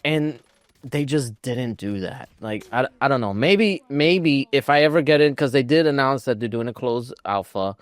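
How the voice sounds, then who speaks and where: A man of average pitch gives casual commentary as a podcast co-host. His phrasing is loose and informal, with plenty of "like." The recording is dry and free of room sound. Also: lively intonation, moderate pace, dry recording. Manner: informal, peppered with "like".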